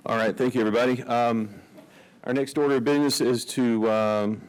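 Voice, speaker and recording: A man's voice: mid-range voice, fairly even intonation, a little fast, poor audio. A man chairing a school board meeting, moving through the agenda casually with some hesitation.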